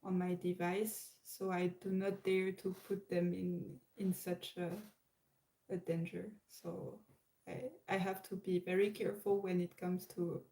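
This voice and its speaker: A woman's voice: fairly low pitch, little pitch movement, measured pace, mellow, rough recording. A French woman with a French accent, chatting live to viewers and hesitating often with fillers and restarts. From France. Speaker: livestream host. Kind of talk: casual live chat.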